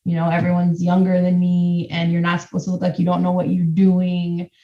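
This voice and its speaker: A woman's voice: fairly low pitch, monotone, a little fast. Candid woman in an echoey room. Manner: candid, blunt. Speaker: panelist. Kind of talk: panel discussion answer. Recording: echoey dull lo-fi sound.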